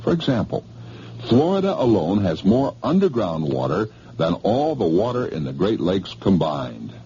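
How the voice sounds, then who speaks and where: A man reading a folksy radio commercial in a chatty pitchman manner, with a husky voice. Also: very animated, normal speed, dark tone. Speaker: commercial announcer. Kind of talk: scripted radio advertisement. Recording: dull lo-fi vintage radio.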